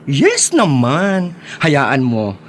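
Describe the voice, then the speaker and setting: A man acting an effeminate, chummy young classmate in a radio drama, campy and expressive. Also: on the high side, very slow, a little hiss or hum, heavily acted. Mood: reassuring.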